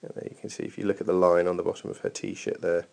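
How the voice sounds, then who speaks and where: A man's voice: sits low, flat delivery, fast talker, vocal fry, a little mellow. A man giving informal running technique feedback over a training video as a coach, thinking aloud in a hesitant way.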